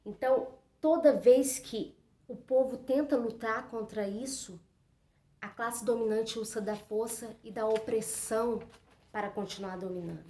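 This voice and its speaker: A woman's voice: a little high, unhurried, dark tone. A woman from Brazil presenting and explaining a book chapter in an earnest, informal way, often saying "então" and "né". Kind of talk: informal spoken book commentary. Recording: echoey room, faint noise.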